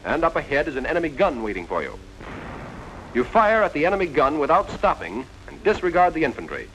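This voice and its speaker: Animated 1940s American military training film narrator. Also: male voice, a little high, brisk. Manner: direct, instructive, addressing the trainee as "you". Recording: noisy vintage 1940s film soundtrack.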